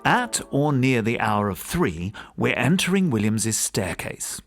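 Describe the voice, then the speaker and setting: A man narrating a gothic ghost story for an audiobook in a measured, formal, dramatic storytelling manner. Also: mid-range voice.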